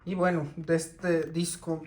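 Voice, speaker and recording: A man, talking casually and unhurriedly through his CD collection, with many pauses and restarts. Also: a little high, little pitch movement. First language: Spanish. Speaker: record collector.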